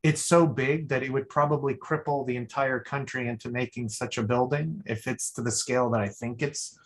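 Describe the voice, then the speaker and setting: A man's voice: mid-range voice, fairly even intonation, normal speed. A man giving rambling, thinking-aloud feedback as a guest critic at a design review over a video call. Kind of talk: spoken feedback on a student project.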